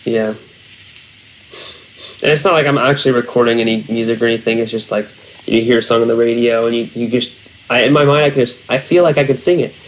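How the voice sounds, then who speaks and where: A man's voice: mid-range voice, steady tone, fast talker, slight background noise, poor audio. Rambling young man chatting casually. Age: young adult. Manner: rambling, many fillers and restarts. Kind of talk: casual chat.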